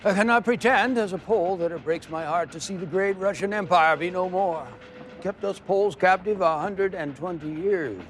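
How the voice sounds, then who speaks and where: An actor as a chatty, chuckling priest from a period film, proud of his country and quick to tease. His voice sits a little high for a man, with a faint hum of train noise underneath. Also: natural voice. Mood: jovial.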